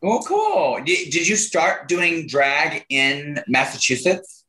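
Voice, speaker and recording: Chatty cohost guy, bright edgy voice, lots of mm-hmms, echoey. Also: a little high, big pitch swings. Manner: chatty, encouraging, quick interjections. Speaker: podcast co-host. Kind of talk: casual chat. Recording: roomy echo.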